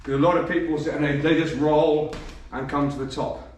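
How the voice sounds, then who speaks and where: A man, a bit croaky from a cold, coaching boxing and counting punches as he demonstrates. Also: fairly high pitch, steady tone, brisk, darkish tone, slight background noise. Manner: demonstrates moves while talking, counting punches. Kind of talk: instructional demonstration. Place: gym. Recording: echoey gym, voice from a distance.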